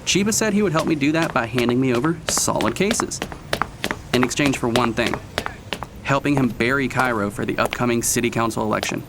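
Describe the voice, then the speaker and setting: A man's voice: mid-range voice, brisk, very noisy, natural voice. An actor playing an ambitious, self-assured male prosecutor in an audio drama, with a pointed, knowing delivery. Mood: confiding, reflective. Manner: confiding in narration, sharp and sarcastic in argument. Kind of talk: audio drama narration and dialogue.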